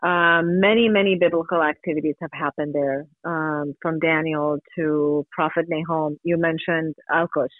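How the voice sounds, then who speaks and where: A woman's voice: low voice, fairly even intonation, normal speed, few pauses, no echo. Over a narrow, dry telephone line, a woman from Iran gives an earnest interview. She speaks with emphasis, sometimes posing questions and answering them herself. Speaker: interview guest, human rights advocate. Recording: thin telephone-like radio line.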